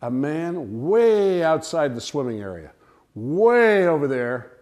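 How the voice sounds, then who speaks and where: A man telling a humorous personal anecdote to listeners in an animated, theatrical way. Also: a little high, slow, muffled recording. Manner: animated, theatrical storytelling with acted-out cries and dialogue. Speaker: storyteller, speaker to an audience.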